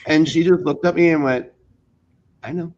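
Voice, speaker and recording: A man's voice: a little high, steady tone, normal speed, a little mellow, dull audio. A man speaking as an interview guest, chatting casually and telling stories. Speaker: interview guest, game developer. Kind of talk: casual chat and storytelling.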